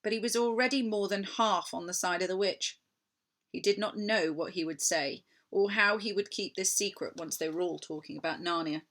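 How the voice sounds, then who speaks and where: A woman reading aloud a children's story as an expressive narrator. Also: medium pitch, moderate pace. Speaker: story reader.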